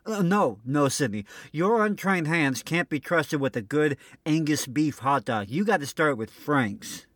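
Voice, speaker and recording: Male radio host, slightly high voice, very animated, comic stammering banter. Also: measured pace, dry recording. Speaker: host of an old-time radio show. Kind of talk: scripted comic banter between hosts.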